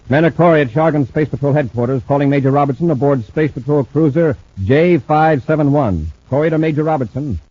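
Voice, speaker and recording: Man acting a brisk space commander, old noisy radio. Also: on the high side, mellow, no echo, lightly acted. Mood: urgent, commanding. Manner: clipped, authoritative orders. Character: brisk, decisive male space patrol commander. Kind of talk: old-time radio sci-fi serial acting. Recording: noisy, muffled old radio broadcast recording.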